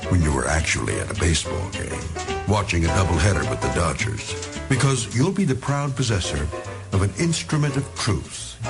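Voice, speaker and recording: An actor playing a wry, ominous narrator of eerie radio tales, measured and dramatic. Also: male voice, medium pitch.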